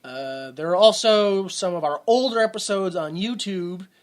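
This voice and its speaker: A man's voice: sits high, normal speed. A young man hosting a podcast, rambling casually and laughing at his own jokes. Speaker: podcast host.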